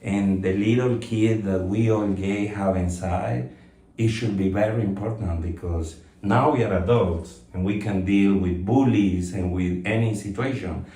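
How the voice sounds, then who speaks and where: A man's voice: on the low side, steady tone, a little slow, mellow. A man in his fifties from Colombia, a guest talking reflectively with many fillers and restarts in a casual interview. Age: 50s. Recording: roomy echo, mic at some distance.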